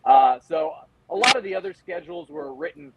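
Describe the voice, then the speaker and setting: An American man giving casual sports analysis as a reporter guest, in a halting, conversational way. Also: high voice, huge pitch swings, vocal fry, phone-quality sound, heavy background noise.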